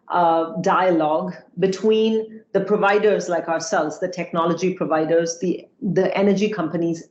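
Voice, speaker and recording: A woman's voice: on the low side, a little mellow. Woman from Singapore in echoey room. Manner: thoughtful, many fillers and restarts. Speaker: panel guest. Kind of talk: panel discussion. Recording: echoey, slightly dull sound.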